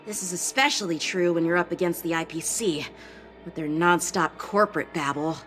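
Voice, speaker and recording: A woman's voice: on the low side, moderate pace, fairly bright tone, faint music behind, natural voice. An actress playing a practical young female officer who talks in a casual, friendly way. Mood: earnest. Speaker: military officer. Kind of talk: game voice acting.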